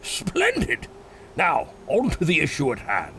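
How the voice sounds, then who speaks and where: An actor voicing a civil, gentlemanly ancient Yeti with a polite, well-spoken, exclamatory manner in an audio drama. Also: male voice, on the high side, huge pitch swings, measured pace, a little hiss or hum, exaggerated voice. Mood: proud, exclamatory.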